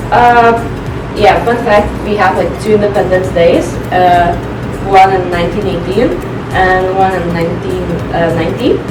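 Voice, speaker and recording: A woman's voice: medium pitch, steady tone, normal speed, hardly any pauses, roomy sound, recorded from a distance, over music, low-quality recording. A young woman from Lithuania, an exchange student, chatting casually with lots of "like" and "uh" fillers. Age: young adult. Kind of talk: casual chat.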